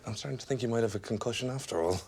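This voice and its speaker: A man playing a manipulative, dismissive boyfriend in a dark comedy film, with a husky voice and a casual, condescending way of talking. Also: medium pitch, racing, noisy background, natural voice. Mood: offended, accusing. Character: manipulative, dismissive boyfriend, smoothly guilt-tripping.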